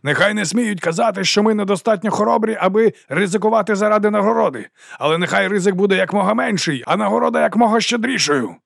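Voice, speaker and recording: A man's voice: high voice, fast talker, vocal fry, few pauses, fairly bright tone, no echo, studio quality, pitched above the performer's own voice, exaggerated voice. A man voicing a flamboyant, roguish mercenary captain in an audiobook, theatrical and self-mocking as he rallies his troops in a grandiose oration. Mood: grandiose, rousing. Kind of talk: audiobook character acting.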